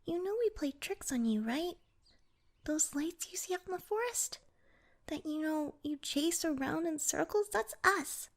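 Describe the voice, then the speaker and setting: A woman's voice: sits high, lively intonation, unhurried. A woman voicing a small, clumsy pixie in a fantasy audio roleplay, proud, easily flustered and wary of humans, with a stammering, indignant manner. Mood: wary, bargaining.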